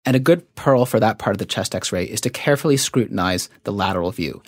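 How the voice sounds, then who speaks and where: A man with a fairly low voice speaks as an expert guest in a medical interview. He explains methodically and didactically in measured phrases, and the sound is dry with little room echo. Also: lively intonation.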